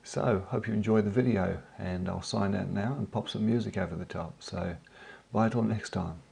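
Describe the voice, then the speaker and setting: Croaky man with a cold, low and gravelly. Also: moderate pace. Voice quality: gravelly, husky. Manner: relaxed, hesitant, many ums and uhs. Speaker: drone hobbyist vlogger. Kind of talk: casual voice-over narration.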